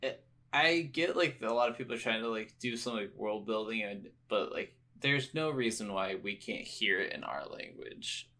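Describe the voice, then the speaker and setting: A man's voice: on the low side, expressive, moderate pace, pushed and bright. A man co-hosting a podcast, chatting casually about games in an agreeable, easygoing way. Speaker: podcast co-host. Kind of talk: casual game review chat.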